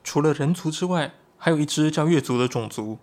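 An actor playing an earnest, excitable young shapeshifting tribesman in a Chinese audio drama, explaining things expressively. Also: a little high, a little fast, lightly acted.

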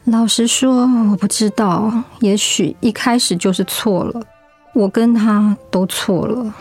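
An actress plays a middle-aged mother, wounded but resolute, in a radio drama. She speaks in a mid-pitched female voice, gentle and reflective. Music plays faintly beneath her. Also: measured pace, slightly rough. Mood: resigned, reflective. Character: hurt, resolute mother leaving her marriage. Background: background music.

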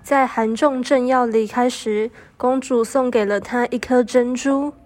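A woman's voice: a little high, steady tone, measured pace, darkish tone. A young woman reading scripted narration for a student radio drama. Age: young adult.

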